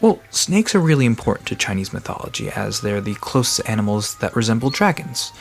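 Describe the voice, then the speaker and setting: A man playing a friendly, nerdy guide to mythical creatures in an audio drama, explaining lore eagerly with hesitant asides. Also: on the low side. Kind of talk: conversational audio drama dialogue.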